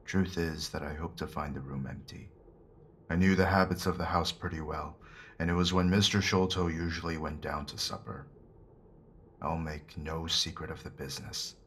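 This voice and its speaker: A man's voice: deep voice, fairly bright tone. A man playing a weathered, middle-aged convict in a Victorian audio drama, speaking earnestly and deferentially with plain working-class phrasing. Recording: noisy ambient background.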